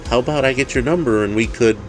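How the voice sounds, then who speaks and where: A man's voice: medium pitch, quick pace, soft background music, pitched above the performer's own voice, close to an everyday voice, low-quality recording. A man playing a cheerful, easygoing fellow in a story, chatting casually and rambling without a care. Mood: upbeat, unbothered. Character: cheerful, unflappable easygoing man, demon's one-night date.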